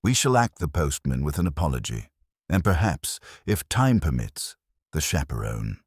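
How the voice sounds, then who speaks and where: A mild, courteous police inspector of a mystery story, acted out by a male narrator. The voice is very low and husky, recorded dry. He speaks with understated formality and gentle care. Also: very animated, normal speed, dry recording, full character voice. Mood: measured, wry.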